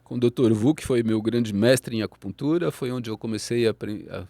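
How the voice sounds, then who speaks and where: A man in his fifties with a Brazilian Portuguese accent, an acupuncturist telling his story in a casual interview, lively and full of tag questions. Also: mid-range voice, fairly quick, dry recording. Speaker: acupuncturist and psychologist guest.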